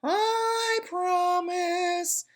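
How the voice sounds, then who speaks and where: A woman voicing a sly talking pigtail that coaxes and whines in a squeaky voice, reading a storybook aloud. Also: fairly even intonation, very slow, full character voice. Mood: coaxing. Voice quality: squeaky and coaxing. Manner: whiny, persuasive. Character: sly wheedling talking pigtail, tiny and pushy.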